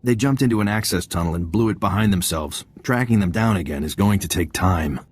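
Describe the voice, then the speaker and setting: A man's voice: low voice, brisk, husky, no room sound. An actor playing a confident male intelligence agent in a video game, wry and businesslike as he gives briefings.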